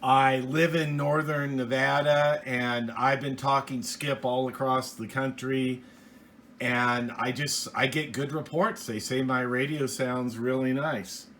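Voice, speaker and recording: A man's voice: medium pitch, fairly even intonation, slow, fairly bright tone, a little hiss or hum. A middle-aged American man giving a casual, plainspoken, rambling product review from a small home office. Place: small indoor home office radio room.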